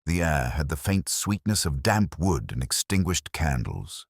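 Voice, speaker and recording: Guy acting as a brooding police inspector telling his case, super deep voice, swoopy pitch, solemn n measured, scripted audio drama. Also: sing-song, slightly rough, dry recording, studio quality, full character voice. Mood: brooding, reflective. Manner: measured, literary, solemn delivery. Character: brooding, introspective male British police inspector. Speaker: narrator. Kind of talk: scripted first-person detective story narration.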